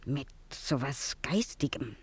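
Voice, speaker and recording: An actress in a German radio play, playing the scheming, bossy wife of a poor donkey driver, insistent and worldly-wise. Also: female voice, a bass voice, animated, slow pace, husky, heavily acted. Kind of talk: German radio play acting, dialogue with asides.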